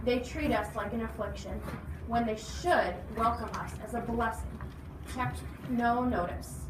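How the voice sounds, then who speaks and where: A woman's voice: a little high, a little slow, close to an everyday voice. A woman voicing a world-weary, protective fairground man who speaks earnestly and placatingly. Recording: noisy echoey room, distant mic.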